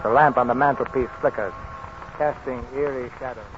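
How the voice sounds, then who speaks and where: A man's voice: medium pitch, steady tone, natural voice. Man as solemn gothic narrator, thirties radio delivery, tinny recording, music behind. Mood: solemn, ominous. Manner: deliberate, dramatic. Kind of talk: 1930s radio scripted narration. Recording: tinny narrow-band 1939 radio recording.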